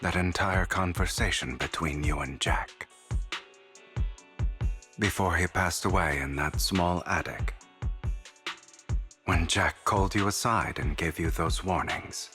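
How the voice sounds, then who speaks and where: A man voicing a sly, composed young schemer in a video game, with a taunting, deliberate delivery. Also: low-pitched, sharp tone, soft background music. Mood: wry, wounded.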